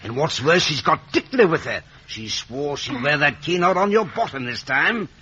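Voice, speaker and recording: A meek, good-hearted blacksmith in an old radio play. His voice is fairly high and animated, with folksy hesitancy, on a muffled, noisy recording. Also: normal speed, slightly rough, full character voice. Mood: anxious. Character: kindly, meek village blacksmith Joe, adult man. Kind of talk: 1950s radio drama acting.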